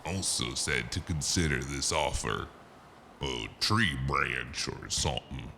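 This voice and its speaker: Male actor doing underworld go-between, bottomless bass, unhurried, gravelly, businesslike, faintly menacing, noir audio drama. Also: sharp tone, slight background noise, exaggerated voice. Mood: persuasive. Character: smooth-talking, businesslike underworld henchman, calm negotiator.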